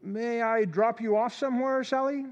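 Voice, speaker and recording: Man as a hesitant, gentle doctor. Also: very high-pitched, fairly even intonation, moderate pace, muffled recording. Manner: hesitant, gentle, with small fillers. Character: calm, hesitant family doctor, adult man. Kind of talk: old-time radio drama recreation.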